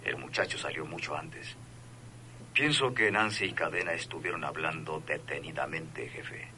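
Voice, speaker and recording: A man's voice: extremely low pitch, moderate pace, sharp tone, slight background noise, full character voice. An actor playing an obedient, cautious henchman reporting deferentially in a radio serial. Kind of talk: radionovela acting.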